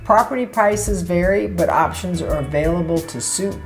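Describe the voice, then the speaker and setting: A woman's voice: fairly low pitch, moderate pace, faint music behind, rough recording. A woman co-hosting a casual chat, reading facts from notes and adding chatty asides. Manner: chatty, reads facts then adds asides. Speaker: co-host.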